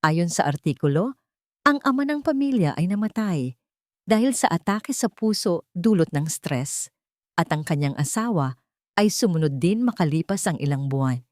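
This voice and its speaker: A woman with a low-pitched voice narrates a dramatic audio story. Her delivery is solemn and full of suspense. The sound is very dry, with hardly any room on it. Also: lively intonation, moderate pace, a little mellow, no room sound.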